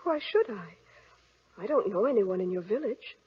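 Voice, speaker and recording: An actress playing an innocent, anxious young bride with an earnest, melodramatic delivery in an old-time radio drama. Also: female voice, medium pitch, lively intonation, moderate pace, slightly rough. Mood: timid, hesitant. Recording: noisy, muffled old radio recording.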